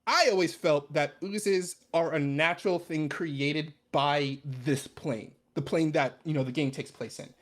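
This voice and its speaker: Higher-pitched man chatting as guest. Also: a little slow, hardly any pauses. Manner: rambling, conversational explaining with many asides. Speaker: podcast guest. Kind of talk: casual chat.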